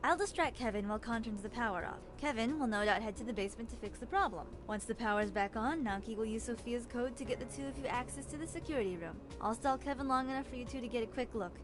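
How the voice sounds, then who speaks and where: An actress plays a scheming young woman in a visual novel, with a fairly high voice. She is confident, teasing and used to giving orders. Game music is clearly heard behind her. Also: quick pace, hardly any pauses, lightly acted. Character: bossy, scheming young woman, cunning amateur sleuth.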